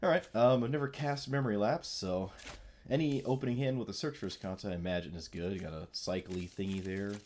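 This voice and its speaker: A man's voice: on the low side, fast, darkish tone, a little hiss or hum. A man with a stuffy nasal voice from a cold, rambling casually as he streams a card game. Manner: mumbling, rambling, self-deprecating. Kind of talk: casual gameplay commentary.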